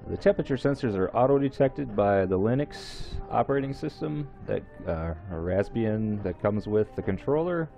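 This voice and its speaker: A man's voice: lowish voice, lively intonation, normal speed, vocal fry, few pauses, mellow, soft background music. A man hosting a how-to video series, walking through a computer task step by step in a plain voice-over. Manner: plain, step-by-step instructions with some fillers and restarts. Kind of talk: screen-recorded tech tutorial. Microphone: voice-over recorded over screen capture.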